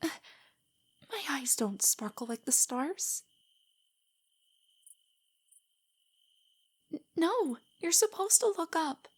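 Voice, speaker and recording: A woman playing a shy, nerdy young girlfriend in an intimate audio roleplay, soft and breathy, stammering with nerves. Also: high-pitched, sing-song, measured pace. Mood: flustered, embarrassed. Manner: nervous, stammering, hesitant rambling. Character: shy, nerdy, easily flustered young adult girlfriend on a date.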